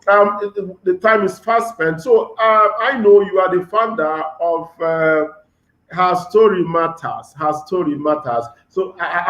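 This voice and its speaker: A man hosting an interview show, speaking deliberately and repeating web addresses slowly. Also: on the high side, lo-fi sound. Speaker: talk show host. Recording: echoey room.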